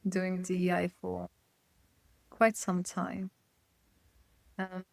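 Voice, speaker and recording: A woman from Poland, a guest consultant, answering interview questions in a thoughtful, measured way, often checking in with "right?". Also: lowish voice, monotone, gravelly, dry recording. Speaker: guest, psychological safety consultant.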